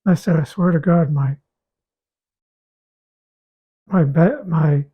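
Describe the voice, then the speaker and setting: A man with a raspy, hoarse voice talking casually about his health, halting and repeating words as he goes. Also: fairly high pitch, mellow. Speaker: guest sharing a health update. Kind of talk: casual conversation.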